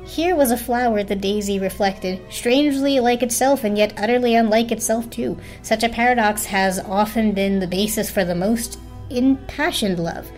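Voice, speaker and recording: A woman's voice: on the high side, normal speed, darkish tone, faint music behind. A woman reading a storybook aloud as a dramatic narrator.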